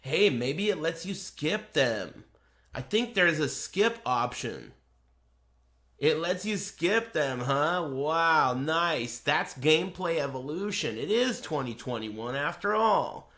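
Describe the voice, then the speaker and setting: Sardonic, bright-voiced male game streamer. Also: on the high side, big pitch swings, takes it slowly. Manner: sardonic, profane, offhand. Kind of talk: casual live gameplay commentary.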